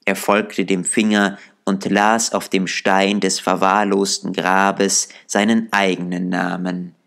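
A man reading an audiobook aloud in calm, measured storytelling narration. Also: low voice, gravelly. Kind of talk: scripted narration.

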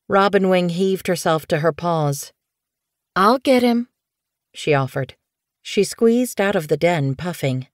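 A woman narrating scripted fiction as an audiobook reader, in a measured, expressive storytelling manner. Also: low voice, dry recording, clean studio sound.

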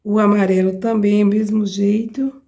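A hoarse Brazilian woman with a cold hosts a craft tutorial. She chats with her viewers while she demonstrates each step, often checking that they follow. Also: medium pitch, flat delivery, unhurried, dark tone. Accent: Brazilian Portuguese. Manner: chatty, addresses viewers directly with frequent checks. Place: home craft table, indoors.

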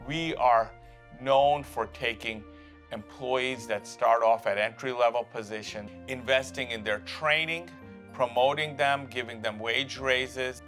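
A man's voice: mid-range voice, a little slow, a bit bright, soft background music. A man who runs franchise hotels, speaking in a documentary interview.

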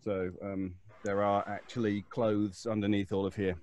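A man's voice: on the low side, little pitch movement, moderate pace, darkish tone. A man bantering casually and jokingly as a performer on a live show. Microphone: video call from home.

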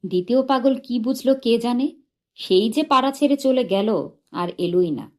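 A woman narrating a humorous short story in an expressive, measured and dramatic storytelling manner. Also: fairly high pitch. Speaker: audiobook narrator.

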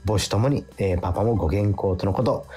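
A man hosting a radio show, chatting casually and reading listener letters aloud in a halting, repetitive way. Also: fairly low pitch, warm tone. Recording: music playing behind. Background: background music.

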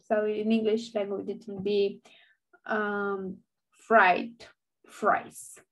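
A woman's voice: mid-range voice, flat delivery, drawn-out words, a bit bright, low-quality recording. A young woman with a Romanian accent, a native speaker teaching an online language lesson into her laptop webcam, patiently repeating words for learners.

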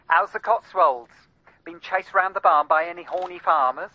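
A man's voice: high voice, normal speed. An actor with an English accent playing a teasing, affectionate London man in a radio drama. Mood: cheeky, teasing. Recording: dull, dry, telephone-like drama sound.

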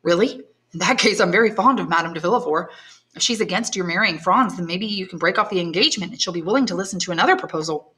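A woman's voice: on the low side, quick pace, sharp tone. A woman reading aloud and voicing a devoted young man, earnest and reassuring, close to her own voice. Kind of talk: audiobook character voice.

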